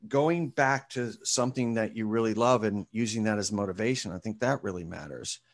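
A man's voice: lowish voice, a little fast. Man telling it straight to a group. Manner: lively storytelling, talks to a group. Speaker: guest author. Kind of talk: interview answer.

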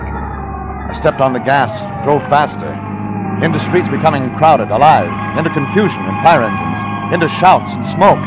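An actor playing a hard-boiled private detective in a vintage radio drama, narrating in a dry, terse manner. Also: male voice, on the high side, close to an everyday voice. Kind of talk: 1940s radio acting. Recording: muffled old radio broadcast with music.

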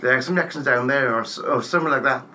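A man's voice: mid-range voice, fast talker, sharp tone. A man interviewing in a casual, chatty conversational way. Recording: faint background noise.

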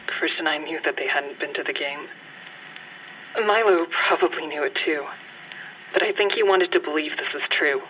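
A woman playing a grieving mother who survived a shooting, giving halting, deliberate testimony in an audio drama. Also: sits low, pushed and bright, recorded from a distance, a little hiss or hum, poor audio. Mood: somber, reflective. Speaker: actor in a fiction podcast.

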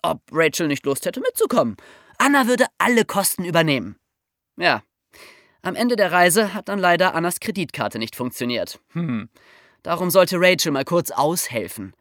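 A woman's voice: fairly low pitch, big pitch swings, normal speed, no room sound, clean studio sound. A woman with a German accent hosting a podcast, telling a true-crime story in a casual chat, with wry asides and frequent laughs. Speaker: podcast host.

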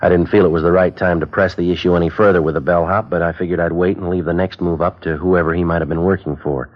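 An actor playing an undercover police detective in a 1950s radio drama, speaking in a clipped, flat, matter-of-fact way. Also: male voice, sits low, fast. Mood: calm narration. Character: undercover police detective posing as buyer, terse. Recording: dull lo-fi old radio broadcast.

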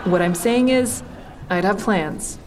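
A woman's voice: medium pitch, slow pace, some chatter behind, close to an everyday voice. An actress playing a frank, sardonic young woman who narrates her own story in an audio drama in a confessional, wry way. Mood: brash, joking. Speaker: actor in a fiction podcast.

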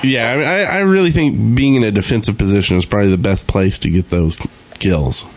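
A man's voice: on the low side, a little fast, background noise. A man chatting casually as a podcast co-host about gaming.

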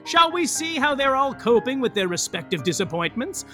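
Comic serial narrator, played by a man: high-pitched, theatrical male, wry and self-aware, faint music underneath. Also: very animated, moderate pace, bright, forceful tone, full character voice. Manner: theatrical announcer delivery with wry offhand asides. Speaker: narrator of a serial comedy podcast. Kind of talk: comic scripted narration addressed to listeners.

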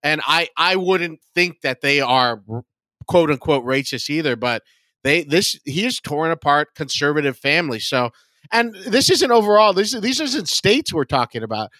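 A man's voice: on the high side, expressive, moderate pace, bright, forceful tone, dry recording. A man, a comedian guesting on a podcast, chatting casually about politics and repeating words as he searches for the next thought.

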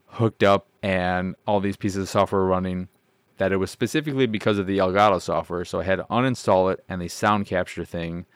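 A man with a low, deep voice chats on his own as a podcast host. He rambles, pokes fun at himself and swears now and then. The sound is dry and close, with no room around it. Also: no room sound. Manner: rambling, self-deprecating, swears casually. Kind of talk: casual solo podcast chat.

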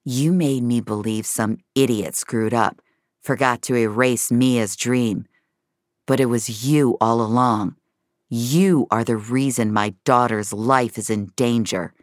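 A woman's voice: rumbling low, fairly even intonation, takes it slowly, no echo, clean studio sound. A woman voicing an angry, protective father in an audio drama, hard and controlled. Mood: hardened anger. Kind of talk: dramatic audio fiction acting.

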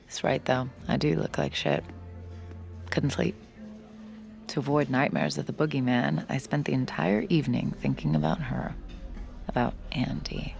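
A woman's voice: extremely low pitch, fairly even intonation, moderate pace, vocal fry, long pauses, darkish tone, soft background music, full character voice. An actress playing a tired, sardonic bartender who dryly narrates her own story in an audio drama. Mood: dry, weary narration. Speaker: narrator and lead character. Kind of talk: audio fiction drama with first-person narration.

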